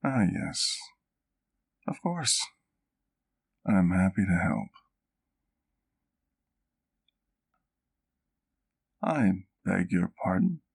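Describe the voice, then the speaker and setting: A man's voice: sits low, big pitch swings, vocal fry, full character voice. A man playing a courtly, doting vampire doctor in an ASMR roleplay, speaking intimately to the listener in formal, precise words. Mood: gentle, reflective. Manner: formal, precise, measured wording.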